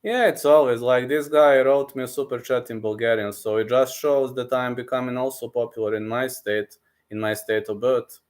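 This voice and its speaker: A man's voice: mid-range voice, normal speed, a little mellow. A man from Serbia with a Balkan accent, hosting a casual live stream and eagerly thanking viewers, repeating himself and calling them man and bro. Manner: enthusiastic, repetitive, keeps calling listeners man and bro.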